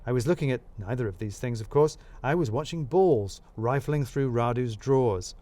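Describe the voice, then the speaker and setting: A man narrating a scripted comic mystery in the first person, wry and expressive. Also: mid-range voice, normal speed. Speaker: narrator of a serial mystery story.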